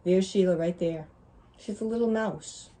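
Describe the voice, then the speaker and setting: A woman reading a picture book aloud to young children in an expressive, deliberate way. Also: on the low side, a little hiss or hum. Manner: deliberate, pausing to ask listeners questions. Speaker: children's story reader.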